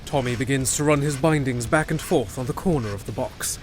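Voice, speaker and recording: Male narrator, mid-range pitch, scripted fantasy storytelling, clear music bed. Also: fairly quick. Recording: music behind.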